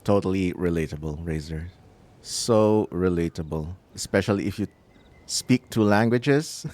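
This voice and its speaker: A man's voice: deep voice, unhurried, darkish tone. A man whose native language is Filipino, chatting casually while commentating on his own gameplay. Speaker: gaming channel host.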